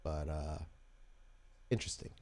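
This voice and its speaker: A man playing a blunt, wary female vampire negotiator in a tabletop game, speaking in a plain, halting, guarded way. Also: very deep voice, dark tone. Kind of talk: tabletop roleplay in character.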